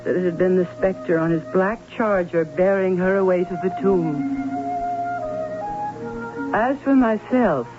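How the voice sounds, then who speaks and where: An actress playing a distraught Baron's sister in a radio drama, telling of a haunting in earnest, formal, dramatic tones. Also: female voice, on the low side, measured pace, pauses often, over music, natural voice. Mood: grave distress. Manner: earnest, dramatic, formal old-fashioned storytelling. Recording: tinny narrow-band old radio with hiss and hum.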